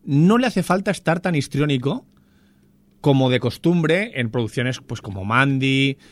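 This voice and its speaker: A man's voice: medium pitch, lively intonation, unhurried, no room sound. A man chatting casually about a film he enjoyed, talking with enthusiasm and frequent restarts. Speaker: film reviewer on a radio show. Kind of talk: casual chat.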